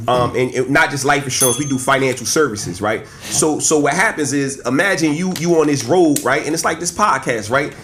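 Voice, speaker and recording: A man's voice: medium pitch, brisk, hardly any pauses, fairly bright tone, slight background noise. A man in his forties from Trenton, New Jersey, talking animatedly in casual podcast chat as an insurance agent guest, full of slang and "right?". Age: 40s.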